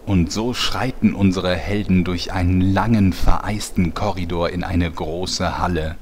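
A man's voice: sits low, fairly even intonation, unhurried, slight background noise. A man playing a solemn narrator, reading scripted heroic fantasy narration for a parody audio drama.

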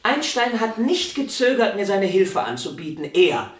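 An actor playing a proud, earnest, excitable male musician in a radio drama, emphatic and insistent. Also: high voice, normal speed, reverberant. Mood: indignant, admiring.

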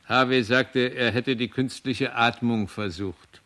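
An actor playing a sober commander who reports matter-of-factly as a witness in a radio play. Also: male voice, mid-range voice, fairly even intonation, slow, a bit bright. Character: sober male coast guard commander giving testimony.

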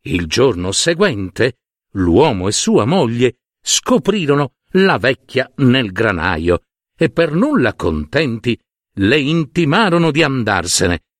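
A man tells a fairy tale as a dramatic storyteller. His pitch swings widely and his delivery is unhurried. The sound is dry and close. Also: big pitch swings, no room sound.

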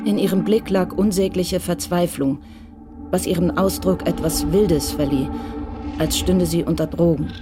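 A woman's voice: on the low side, moderate pace. Female drama narrator over music. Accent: Standard German. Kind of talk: scripted audio drama narration.

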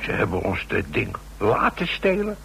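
A folksy, shrewd safecracker with a very gravelly, fairly low voice. He talks haltingly and respectfully, tacking little tags onto his phrases. It is a radio drama with faint noise on the old recording. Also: big pitch swings, fairly bright tone, heavily acted, low-quality recording. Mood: puzzled, dawning insight. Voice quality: gravelly, creaky voice. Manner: halting, colloquial, full of tag questions. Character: shrewd, folksy male safecracker, hesitant but deferential.

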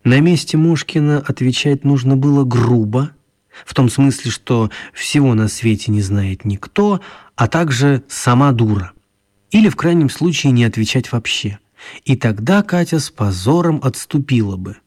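A man narrating a children's radio play as an expressive, wry storyteller. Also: medium pitch, moderate pace, close to an everyday voice. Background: music.

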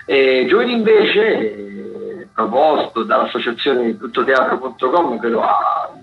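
A man's voice: medium pitch, expressive, gravelly. Guy doing a radio events rundown, keeps hesitating and fixing himself, roomy lo-fi sound. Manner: halting, searching for words, self-correcting. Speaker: theatre critic presenting a weekly events agenda.

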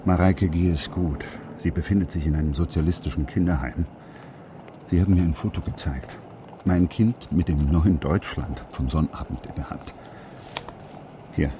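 A grave, secretive surgeon and anxious father in a radio crime play. His voice is extremely deep and he talks in terse, halting sentences. Vehicle noise is clearly heard around him. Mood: tense, factual. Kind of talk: German radio crime drama acting.

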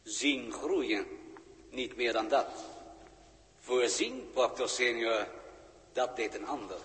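Polite alien ex-pupil official, old radio play, formal measured talk, echoey hall sound. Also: male voice, mid-range voice, animated, a bit bright. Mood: solemn, revealing. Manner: formal, measured, stately diction. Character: composed, deferential male alien official, former pupil. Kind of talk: 1960s radio drama acting. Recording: reverberant 1960s radio studio recording.

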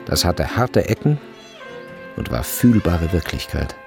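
An actor as the grave narrator of a literary radio play, speaking in a measured way. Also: male voice, deep voice, sing-song, vocal fry, over music, exaggerated voice.

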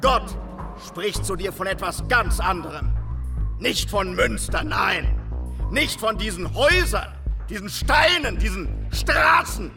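An actor playing a fiery, fanatical street prophet in a radio drama, declaiming apocalyptic visions with exalted fervour and dramatic pauses. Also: male voice, high-pitched, animated, creaky, over music, heavily acted. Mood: scornful, fervent.

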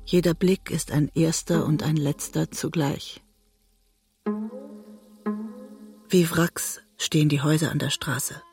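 An actress playing a guilt-ridden, disillusioned wife and writer, narrating introspectively in a literary radio drama. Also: female voice, deep voice, fairly even intonation, darkish tone, husky, faint music behind, full character voice. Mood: bleak, estranged. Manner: introspective, measured storytelling. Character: disillusioned, guilt-ridden woman writer trapped in a bitter marriage.

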